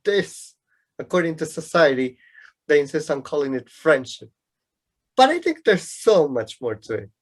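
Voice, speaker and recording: An actor playing a know-it-all young student in a radio play, lecturing pedantically and with some exasperation in a husky voice. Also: mid-range voice, very animated, moderate pace. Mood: exasperated. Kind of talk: amateur radio play acting.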